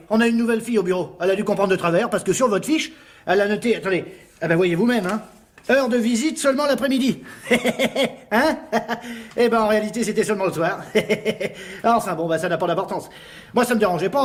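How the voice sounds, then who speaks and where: High-voiced, chatty deliveryman. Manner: chatty, familiar, frequent "hein" tags. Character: chatty, affable encyclopedia deliveryman, breezy and unbothered. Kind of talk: French radio drama acting.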